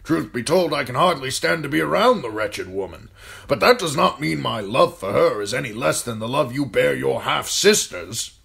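A man voicing a gruff older military commander in an audiobook, talking bluntly and firing off needling questions. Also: medium pitch, animated, normal speed, fairly bright tone, pitched above the performer's own voice. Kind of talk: audiobook character voice.

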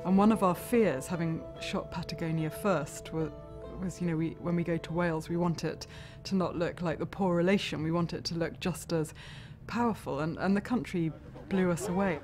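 A woman's voice: lowish voice, moderate pace. A woman explaining a film project in an earnest interview, sitting indoors. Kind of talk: documentary interview. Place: indoor room with chairs. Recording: interview with music underneath.

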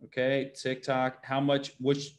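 A man's voice: medium pitch, monotone, a little slow. Casual, questioning man on a call. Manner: questioning, informal. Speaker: business owner seeking advice. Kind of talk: casual chat on a one-on-one call. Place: bright indoor room at home, video call. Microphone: video call.

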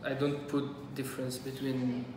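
A man in his thirties from Syria with a Syrian Armenian accent, speaking English haltingly as a second language in an interview. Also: medium pitch, completely flat delivery, noisy background. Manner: halting, searching for English words. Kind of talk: casual oral-history interview. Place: small cafe, indoors. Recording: echoey room from far off, crowd behind.

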